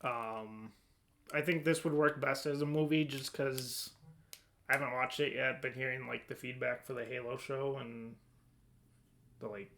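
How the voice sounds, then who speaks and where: A man's voice: mid-range voice, steady tone, a little slow, creaky, fairly bright tone. A man hosting a casual podcast discussion, talking informally and a little hesitantly as he thinks aloud. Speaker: podcast host leading a discussion. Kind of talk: casual chat.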